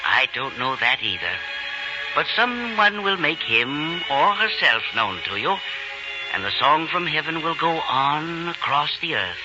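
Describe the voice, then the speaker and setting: Gentle earnest villager, 1940s radio drama, very expressive, muffled recording with music behind. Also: male voice, fairly high pitch, unhurried. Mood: kindly, hopeful. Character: earnest, gentle village man entrusting a song to children.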